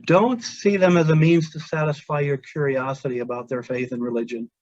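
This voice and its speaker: A male chaplain whose first language is Pennsylvania Dutch, giving a measured, earnest webinar talk with pauses and restarts. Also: fairly high pitch, fairly even intonation, a little mellow, no echo. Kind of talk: webinar presentation. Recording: dull, dry lo-fi audio.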